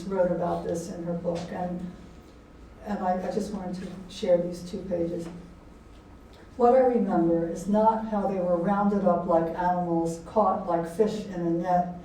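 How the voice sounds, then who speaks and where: An older woman, an author speaking to an audience in a halting, reflective manner. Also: on the low side, warm tone. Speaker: author and poet giving a talk. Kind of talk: informal talk and poetry reading to an audience. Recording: very echoey room, distant mic, faint noise.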